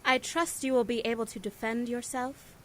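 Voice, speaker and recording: An actress playing a proud, imperious superheroine who speaks formally and haughtily in an audio drama. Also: female voice, a little high, fairly even intonation, moderate pace, natural voice. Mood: haughty. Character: proud, imperious female weather-goddess superhero.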